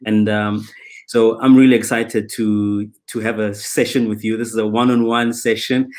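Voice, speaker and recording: A man moderating an online panel over a video call, measured and hesitant with many ums. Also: lowish voice, little pitch movement, a little mellow. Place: indoors, plain room, video call. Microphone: webcam on video call.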